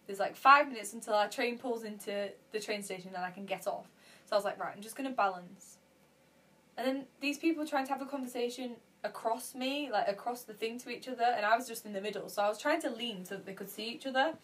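A young woman telling stories in a casual podcast chat, animated and laughing often. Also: fairly high pitch, fast. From Yorkshire, England. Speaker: podcast co-host.

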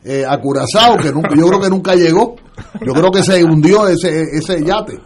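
A man on a political talk radio panel, speaking as a lawyer. His voice sits a little above the usual male range. He pauses a lot, fills gaps with filler sounds and restarts his sentences as he works toward his point. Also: on the high side, slight background noise.

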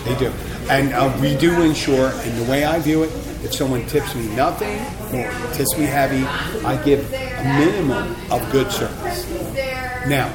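A man's voice: mid-range voice, animated, moderate pace, over loud music. A man with a Philadelphia accent hosting a casual chat, rambling and teasing. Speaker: show host. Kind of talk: casual radio-style chat. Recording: roomy venue with loud crowd.

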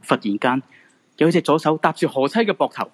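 A man's voice: on the high side, lively intonation, fairly quick. A man with a Hong Kong Cantonese accent narrating a radio drama in scripted, dramatic storytelling style.

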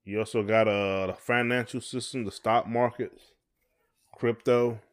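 A man's voice: mid-range voice, steady tone, unhurried. A middle-aged man chatting casually and colloquially as a podcast co-host. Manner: colloquial, conversational. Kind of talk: casual chat.

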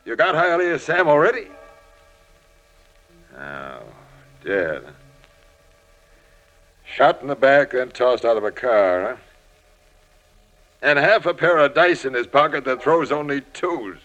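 An actor playing a brisk, methodical homicide captain, official and wry, in an old-time radio crime drama. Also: male voice, medium pitch, sing-song, a bit bright, muffled recording. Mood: urgent, wry. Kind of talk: 1940s-50s radio drama acting.

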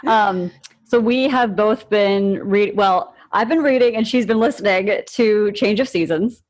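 A woman's voice: mid-range voice, unhurried. An American woman co-hosting a podcast interview in a casual, conversational style. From Pennsylvania, USA. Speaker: podcast co-host. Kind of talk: casual chat.

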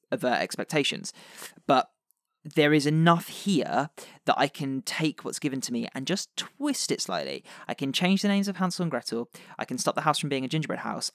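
A man's voice: medium pitch, no echo. Male podcast host, English accent, mid-range voice, casual discussion, dry recording. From England. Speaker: podcast host reviewing tabletop adventures.

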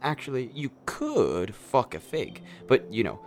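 A man's voice: mid-range voice, wildly swooping pitch, measured pace, slight background noise. A man playing a nervous, apologetic killer in a darkly comic radio play, stammering and rambling with plenty of swearing. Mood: rambling, musing. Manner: stammering, hesitant, rambling, foul-mouthed.

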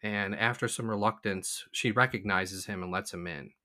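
Bright, low-voiced male storyteller. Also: normal speed. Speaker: talk show co-host. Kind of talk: informal anecdotal storytelling.

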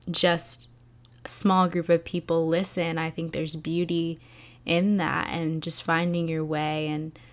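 A young woman hosting her own podcast, talking alone in a soft, soothing and earnest way, with many fillers. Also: lowish voice. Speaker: podcast host. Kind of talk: reflective solo podcast talk.

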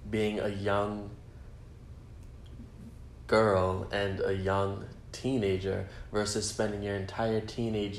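Male host, lowish flat delivery, measured questions, faint background hiss. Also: creaky. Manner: measured, asks long questions. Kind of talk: podcast interview. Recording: echoey room, faint ambience.

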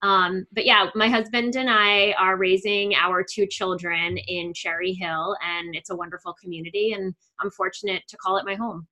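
Interview guest: middle-aged woman, New York accent, bright tone, lots of ums. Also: mid-range voice, fairly even intonation, normal speed, hardly any pauses, low-quality recording. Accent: New York area. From northern New Jersey. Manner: frequent ums, thoughtful and earnest. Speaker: coach, guest. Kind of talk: conversational interview.

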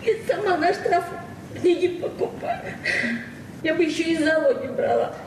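On a noisy, echoey old radio play recording, a proud small-town librarian in her forties. Her high voice moves quickly, plain-spoken and frank, with the earnest grievance of a hard-pressed woman. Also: recorded from a distance. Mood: aggrieved, confiding. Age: 40s. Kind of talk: Soviet 1970s radio drama acting.

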